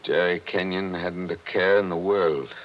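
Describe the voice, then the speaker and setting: An actor playing a grave, brooding lawyer in a 1940s radio drama, earnest and clipped. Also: male voice, sits low, measured pace. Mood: grim, intense. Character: grave, brooding male New York lawyer. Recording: muffled vintage radio, faint noise.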